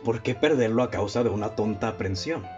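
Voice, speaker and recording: Radio drama detective, male actor: lowish Mexican voice, composed, analytical, formal delivery, dull lo-fi sound. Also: a little mellow, lightly acted. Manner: formal, measured, explanatory. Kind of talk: radio play acting. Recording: dull lo-fi audio with music bed.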